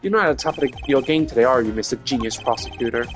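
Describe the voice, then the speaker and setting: A man's voice: medium pitch, racing, soft background music, lightly acted. A man voicing a cocky male prosecutor in a video game, a flippant suspect with a casual, taunting manner.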